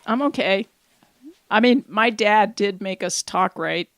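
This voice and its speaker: A woman's voice: mid-range voice, measured pace, fairly bright tone, no echo, studio quality. A middle-aged woman co-hosting a community radio show, chatting casually, rambling and laughing often. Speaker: radio co-host. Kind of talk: casual chat. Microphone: studio microphone.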